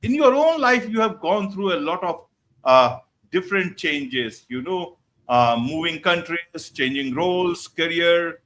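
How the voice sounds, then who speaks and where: A male host with a fairly high, bright and forceful voice. He opens the show in a formal, scripted way, then asks rambling questions peppered with "you know". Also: animated, unhurried. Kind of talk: hosted online interview.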